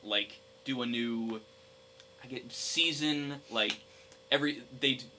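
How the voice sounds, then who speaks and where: A young man co-hosting a casual gaming discussion, speaking in a hesitant, halting way. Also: medium pitch, pushed and bright, slight background noise. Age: young adult. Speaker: podcast co-host.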